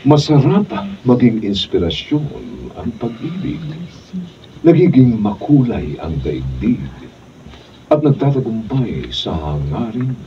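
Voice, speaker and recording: A man with a Filipino accent narrating a radio drama and its closing credits in a dramatic, measured announcer style. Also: medium pitch, big pitch swings. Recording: distant, dull, noisy studio room recording.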